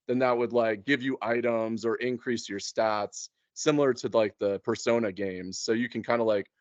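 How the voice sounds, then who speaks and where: A man with a mid-pitched voice talks through tabletop game master plans in a loose, conversational way. He feels his way through explanations, often hesitating. The audio is close and dry, with a dull, lo-fi muffle. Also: no echo. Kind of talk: casual chat.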